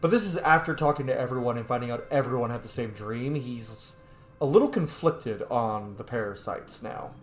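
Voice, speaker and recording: A man's voice: medium pitch, normal speed, soft background music. A man giving casual, informal commentary as he streams a game playthrough, with chatty asides. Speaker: gaming streamer. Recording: roomy echo, faint background noise.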